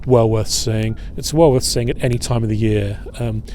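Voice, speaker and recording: A middle-aged man leading an outdoor history tour at a waterfront fort. He talks in a relaxed, halting way with many filler pauses. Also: fairly low pitch, slight background noise. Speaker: historian and battlefield guide.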